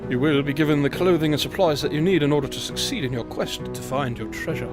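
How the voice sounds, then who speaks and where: An actor with a British accent playing a mysterious, polite time-travel guide in a formal, measured audio drama style. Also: male voice, fairly high pitch, over music, close to an everyday voice. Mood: calm, knowing. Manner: formal, measured, gently reproachful.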